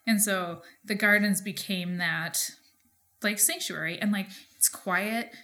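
A woman's voice: mid-range voice, takes it slowly, pushed and bright. An American woman in her thirties with a mid-range voice chats casually as a podcast co-host. Her phrasing is loose and informal, with "like" scattered through it. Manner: informal, frequent "like" as filler. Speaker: podcast co-host and market gardener. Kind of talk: casual conversational chat.

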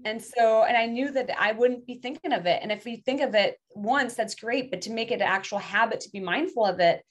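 A woman's voice: a little high, fairly quick, lo-fi sound. A woman whose first language is French, speaking English as a podcast guest and talking in an earnest, rambling way with many restarts. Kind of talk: casual interview chat.

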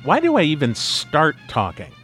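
An actor playing the exasperated, sensible one in a group of friends, dry and scolding, in an audio drama. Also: male voice, a little high, wildly swooping pitch, moderate pace, fairly bright tone, soft background music. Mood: dry, wary.

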